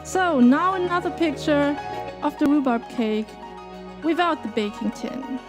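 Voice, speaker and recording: A woman's voice: high voice, expressive, measured pace, music in the background. A German woman streaming live from her home kitchen while baking, chatting casually with viewers and laughing often. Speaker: cooking streamer.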